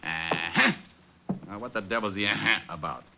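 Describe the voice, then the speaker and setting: A man's voice: sits low, normal speed. An American man acting a cocky, wisecracking private detective in an old-time radio drama, glib and sardonic. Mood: teasing, mock indignant. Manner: glib, teasing, sardonic banter. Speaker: lead actor and narrator. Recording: muffled old radio recording, faint noise.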